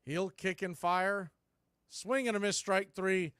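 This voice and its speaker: Male play-by-play host, fairly high voice, smooth and dry, conversational fan commentary. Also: steady tone, takes it slowly, fairly bright tone, dry recording.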